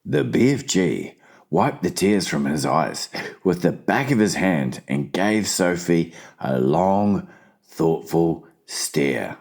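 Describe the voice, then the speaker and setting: Casting: storybook narrator, very deep husky voice, measured scripted delivery for children's fiction. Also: expressive, darkish tone. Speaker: audiobook narrator.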